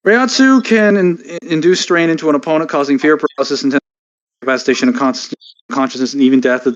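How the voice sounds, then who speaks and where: A man co-hosting a casual stream, joking, laughing often and cutting in with quick repeated words. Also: a little high. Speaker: stream co-host. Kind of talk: casual banter reading a blog. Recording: slightly dull lo-fi sound.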